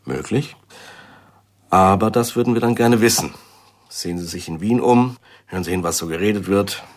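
An actor playing a guarded, businesslike intelligence man in a radio crime drama, with a husky voice and deliberate phrasing. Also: low voice. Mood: measured, guarded.

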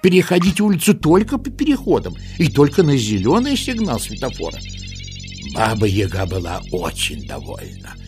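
A man narrating a children's story in an expressive, theatrical and playful way, with rhetorical asides. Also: medium pitch, moderate pace. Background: music.

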